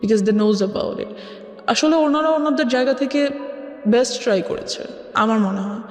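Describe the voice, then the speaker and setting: A woman's voice: fairly high pitch, measured pace. A young woman with a Bangladeshi accent giving a conversational personal account as an interview guest, mixing in English phrases. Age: young adult. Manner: mixes English phrases into her talk. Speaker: interview guest telling her life story. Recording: echoey with long reverb.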